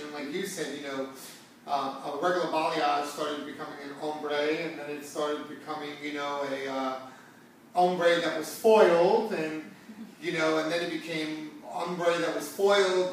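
A man's voice: on the high side, steady tone, moderate pace. A middle-aged man hosting a conversational, educational salon talk, addressing viewers emphatically and repeating phrases to make his point. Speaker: salon talk show host, hair stylist. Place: indoor hair salon seating area. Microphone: far camera. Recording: distant mic in echoey room, background hiss.

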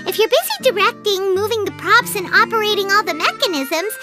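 A woman voicing a tiny, frank, childlike fairy companion with a perky, squeaky manner in a video game. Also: animated, fairly quick, over loud music. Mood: understanding.

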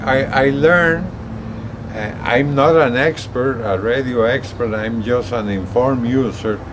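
A man in his sixties talks slowly and casually, explaining things to viewers. He stops and repeats words as he goes. He is recorded outside on a balcony, with faint ambient noise behind him. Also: medium pitch. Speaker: gadget reviewer. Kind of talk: casual explanatory vlog talk. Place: outdoors on an apartment balcony. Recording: steady outdoor ambient noise.

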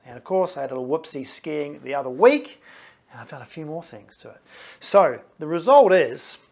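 A man's voice: on the high side, very animated, normal speed, creaky, darkish tone. Chatty man in his forties explaining scans. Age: 40s. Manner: chatty, keeps checking in with okay and right. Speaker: physiotherapist. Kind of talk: explaining his own knee scans to camera. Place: small clinic office.

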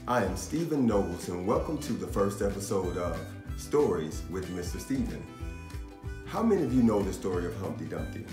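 A man in his thirties reading a picture book aloud to young children, with deliberate, dramatic storyteller pauses. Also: low voice, sing-song. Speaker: children's storytime host and reader. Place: small indoor room in a house. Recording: roomy echo, faint music.

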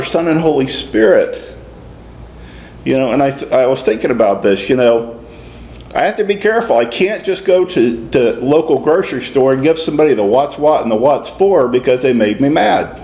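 Conversational man preaching in an echoey church. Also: medium pitch. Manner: warm conversational preaching, folksy asides. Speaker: deacon preaching a homily. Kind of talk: sermon. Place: small stone-walled church sanctuary. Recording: echoey church with faint room noise.

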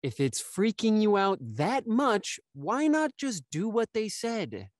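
A man's voice: high voice, very animated, takes it slowly, no echo, studio quality, exaggerated voice. A man playing a hungover, irritable and dismissive young man in an audio drama, grumbling and curt. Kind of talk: naturalistic audio drama read-through. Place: home, on a video call.

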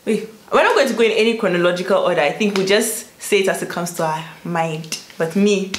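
A young woman hosting a casual group chat on her channel, playful and quick to laugh. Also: mid-range voice, reverberant, slight background noise. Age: young adult. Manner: animated, laughs often, playful emphasis. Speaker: host. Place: small room indoors.

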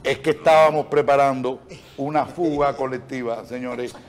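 A middle-aged man hosting a talk show in an expressive, joking way. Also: mid-range voice, a little hiss or hum. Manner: expressive, joking, quick exclamations.

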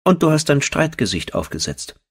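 A man's voice: on the high side, sing-song, moderate pace, slightly rough, voice pushed up. A man voicing a teasing, slightly spiteful female bartender in an audiobook, with a knowing, needling manner. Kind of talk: audiobook character dialogue.

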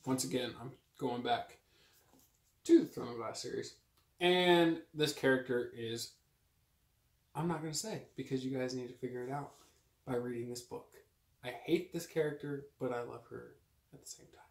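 A man's voice: medium pitch, moderate pace, pauses often, fairly bright tone. A young man chatting casually about books as a co-host of a home video. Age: young adult. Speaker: book vlogger co-host. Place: small room at home with bookshelves. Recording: roomy echo from camera distance.

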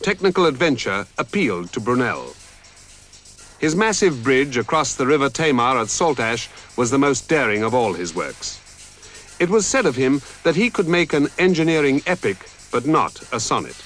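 A man giving measured, dramatic scripted documentary narration. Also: mid-range voice, very animated, a bit bright, soft background music. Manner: measured, dramatic storytelling delivery.

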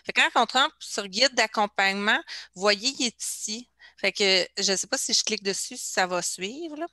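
A woman with a Quebec French accent presents her organisation's services in an informal, apologetic way. Her voice is bright and forward, at an average female pitch, picked up dry and close on a video call. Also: moderate pace, dry recording. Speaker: caregiver association representative presenting services. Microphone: computer or headset on video call.

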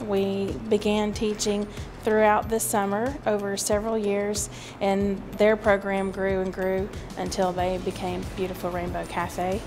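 A middle-aged woman speaks in a sit-down interview indoors. Her voice is mid-pitched for a woman, with light music underneath. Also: completely flat delivery, unhurried, darkish tone. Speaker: food safety extension agent. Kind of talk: sit-down interview for a promotional video. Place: indoor office or cafe room.

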